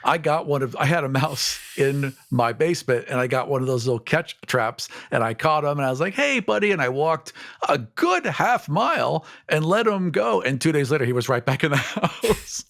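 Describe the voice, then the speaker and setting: A man's voice: on the high side, lively intonation, normal speed, vocal fry, a bit bright. A man co-hosting a podcast, chatting casually in a blunt, jokey way. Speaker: podcast co-host. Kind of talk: casual chat about tabletop game monsters.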